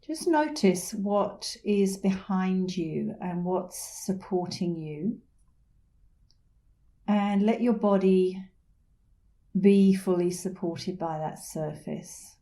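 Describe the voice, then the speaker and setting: A middle-aged woman leading a guided meditation in a soothing, unhurried manner with gentle pauses. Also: medium pitch, animated. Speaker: meditation instructor.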